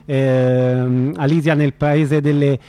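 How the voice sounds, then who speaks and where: A woman's voice: extremely low pitch, level tone, slow pace, warm tone, dry recording. A woman hosting a live radio interview in an informal, conversational way. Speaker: radio host.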